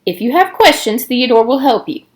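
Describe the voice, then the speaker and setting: A woman's voice: on the high side, expressive, normal speed, pitched above the performer's own voice, natural voice. A woman voicing a preachy, upbeat male youth-camp counselor in an earnest, patronizing, emphatic way for a storybook. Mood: earnest, encouraging.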